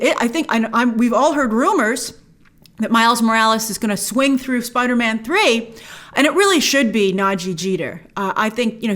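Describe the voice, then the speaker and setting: A woman with a high voice delivers opinionated review commentary as a show host. Her pitch swings widely and she leans hard on key words. She addresses her viewers directly. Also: fairly bright tone. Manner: emphatic, stressing key words.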